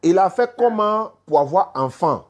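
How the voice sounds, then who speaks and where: A woman debating religion live as a host, insistently repeating pointed questions in a husky voice. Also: on the low side, expressive, normal speed, darkish tone, a little hiss or hum. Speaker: host taking phone calls on religion.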